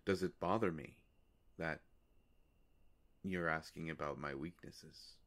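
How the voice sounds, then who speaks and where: A man performs an immortal male vampire with a deep voice full of gravelly fry. He talks intimately to one listener in a roleplay audio, polite and wryly musing. His delivery is very unhurried and halting, with long pauses. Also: fairly bright tone, full character voice. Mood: wry, explaining. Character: courteous, world-weary immortal male vampire, gently wry.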